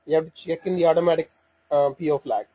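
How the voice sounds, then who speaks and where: A man giving an informal step-by-step software walkthrough, speaking haltingly as he thinks aloud while working through the screens. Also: fairly high pitch, fairly even intonation, slightly rough. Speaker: software demonstrator. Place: voice-over for a screen recording.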